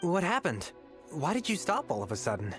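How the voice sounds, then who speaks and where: A woman voicing an earnest, excitable, wide-eyed child adventurer in a video game. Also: deep voice, fairly quick, soft background music. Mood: curious.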